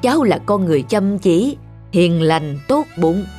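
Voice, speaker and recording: A woman voicing a wise, kindly old male fairy with slow, measured speech in a cartoon fairy tale. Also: fairly low pitch, sing-song, mellow. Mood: kindly, reassuring. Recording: music under the voice.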